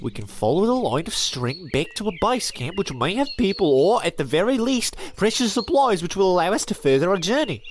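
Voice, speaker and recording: An actor playing a hesitant, bookish gun caddy who stammers and muses in a comedic radio play. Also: male voice, high voice, sing-song, a little fast, hardly any pauses, slight background noise. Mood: meek. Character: meek bookish male gun caddy assistant.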